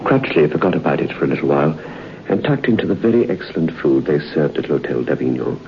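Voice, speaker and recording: A man narrating a suspenseful story in measured, scripted style for an old radio drama. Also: very deep voice, very animated, gravelly, soft background music. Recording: muffled old radio transcription with faint hiss.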